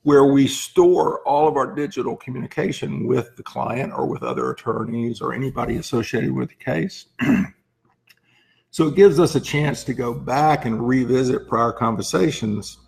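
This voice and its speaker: Hoarse man with a gravelly, creaky voice. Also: mid-range voice, normal speed, a little mellow, poor audio. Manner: frequent throat clearing. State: hoarse voice from laryngitis. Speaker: lawyer hosting a firm's advice segment. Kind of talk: informal explanatory talk to camera.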